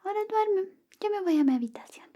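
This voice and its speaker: A young woman playing a sly, clingy female best friend secretly in love, in a soft, whispery, coy ASMR roleplay. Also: very high voice, expressive, fast talker. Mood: coy, possessive. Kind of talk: Spanish ASMR roleplay, personal attention.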